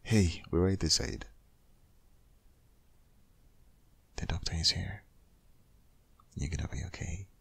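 A man playing a devoted, anxious but reassuring vet boyfriend in an intimate ASMR audio roleplay, whispering breathily with hushed, coaxing urgency. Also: low-pitched, normal speed, vocal fry, husky, heavily acted. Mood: relieved, tender.

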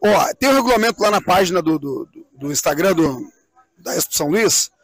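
A man's voice: high voice, lively intonation, a little fast, a bit bright. A middle-aged man speaks. He explains things in an interview, often repeating himself and asking rhetorical questions. The sound is dry, with no echo. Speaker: event president being interviewed. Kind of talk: live outdoor interview, explanatory talk. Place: outdoors on a wooden swing in a park.

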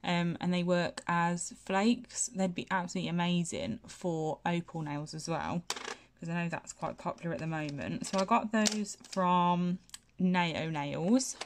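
A woman's voice: fairly low pitch, slight background noise. A young woman, a nail technician and channel host, chatting casually through a tour of her home nail room, a bit sniffly from hay fever, with lots of fillers and restarts. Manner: chatty, self-deprecating, many fillers and restarts.

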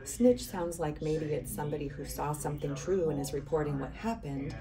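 A woman public defender giving firm, measured answers in a street interview. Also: low-pitched, far from the mic, a crowd in the background.

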